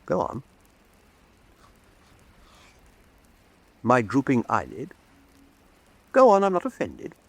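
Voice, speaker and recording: An actor playing a sensitive, vain admiral in a historical radio drama, with measured, formal, wounded politeness. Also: male voice, medium pitch, wildly swooping pitch, creaky, husky, heavily acted. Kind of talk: full-cast historical radio drama acting.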